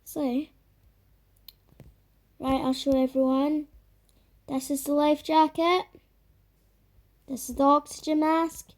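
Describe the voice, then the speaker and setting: A woman chatting loosely while playing a game, her voice croaky, full of fillers and trailing murmurs. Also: high voice, fairly even intonation, slow pace, long pauses. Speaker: gamer. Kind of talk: casual gameplay commentary.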